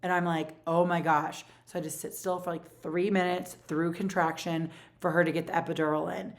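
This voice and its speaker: A woman in her forties with a low voice, telling a personal story in a casual, animated way with lots of fillers. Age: 40s. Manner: animated, rapid retelling with many fillers. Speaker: vlogger.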